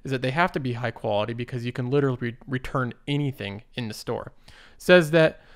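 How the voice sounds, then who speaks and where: A young man talks through a stock pick in an easygoing, upbeat way, walking the listener through each point. His voice is smooth and clear, at an ordinary middle pitch. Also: fast talker. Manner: upbeat, conversational, explains things step by step. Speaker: investing channel host. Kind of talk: casual investing commentary over slides.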